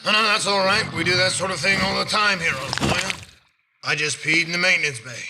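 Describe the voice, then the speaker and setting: A man playing a fussy, desperate alien ruler in a human body, with a raspy voice, in a science fiction TV show. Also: high-pitched, a little fast, background noise. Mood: blithe.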